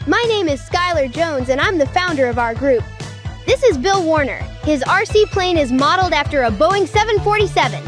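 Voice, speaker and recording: A woman's voice: sits high, lively intonation, a little fast. A woman playing an earnest, proud child who leads a club of model plane pilots in an amateur cartoon comic dub. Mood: proud, explaining. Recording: music under the voice.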